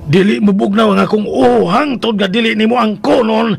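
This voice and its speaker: An actor playing a jealous, needy young husband in a radio drama, theatrical and volatile, with a Filipino accent. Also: male voice, high-pitched, moderate pace, gravelly, slightly rough, exaggerated voice. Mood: jealous, angry. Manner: theatrical, swinging from barked demands to pleading. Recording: loud dramatic music bed.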